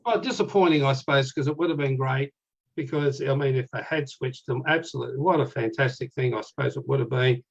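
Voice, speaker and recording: A man with an Australian accent, a guest author talking in a casual, rambling way and clearing his throat now and then. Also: mid-range voice, fairly quick, highs cut off. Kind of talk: casual conversation.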